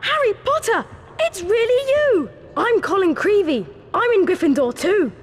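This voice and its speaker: A woman with a British accent voicing an eager, excitable young schoolboy in a video game. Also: extremely high pitch, normal speed, noisy background. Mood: awed, eager. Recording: game audio with long reverb.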